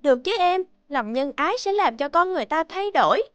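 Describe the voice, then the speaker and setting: A very high-pitched, earnest young sister. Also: female voice, expressive, brisk, no room sound. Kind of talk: animated morality tale voice acting.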